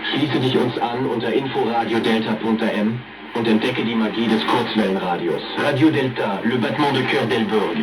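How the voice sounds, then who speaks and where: Low male station announcer over shortwave radio. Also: brisk, recorded from a distance, loud music behind the voice, low-quality recording. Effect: heard through a shortwave radio. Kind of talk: scripted multilingual station jingle. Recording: shortwave radio broadcast picked up from afar.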